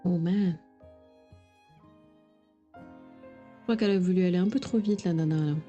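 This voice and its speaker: A woman's voice: fairly low pitch, normal speed, warm tone, highs cut off, soft background music. A woman streamer giving casual, playful, informal live commentary on a game.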